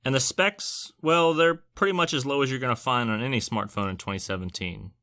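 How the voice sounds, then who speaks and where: A man's voice: mid-range voice, brisk, sharp tone. A man hosting a scripted product review, narrating with wry, joking asides. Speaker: tech reviewer and host.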